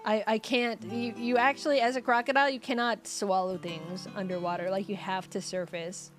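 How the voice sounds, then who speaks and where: A woman's voice: medium pitch, little pitch movement, moderate pace, soft background music. A young woman streamer in casual chat with her viewers, rambling and giggly with lots of fillers, her voice getting scratchy.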